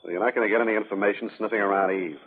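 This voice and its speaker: An actor playing a hard, menacing rancher in a 1950s radio western, speaking in deliberate threats. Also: male voice, fairly low pitch, steady tone, breathy edge. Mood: taunting. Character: hard, menacing rancher guarding his friend's claim. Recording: muffled, band-limited old radio broadcast.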